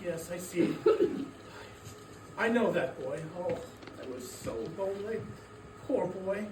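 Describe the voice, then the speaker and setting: A woman's voice: lowish voice, animated, slow pace. A woman playing an elderly, emotional Scrooge in a dramatic stage reading, wistful and exclamatory. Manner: exclamatory, wistful, repeating words. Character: elderly Scrooge, emotional man revisiting his past. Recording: roomy, distant mic, noisy hall recording.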